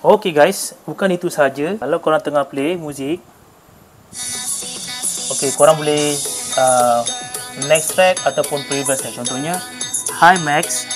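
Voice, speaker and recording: A man's voice: on the high side, measured pace, long pauses, music in the background. A man with a Malaysian accent giving a casual, hands-on product demonstration, mixing in English tech terms. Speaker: motorcycle gear reviewer. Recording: clearly noisy room recording.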